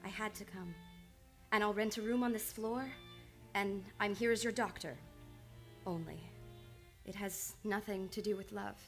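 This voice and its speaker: A woman acting in an old-time radio drama as a measured, determined psychiatrist in love. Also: mid-range voice, fairly bright tone, lightly acted. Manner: measured, controlled, earnest. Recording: live radio play with music behind.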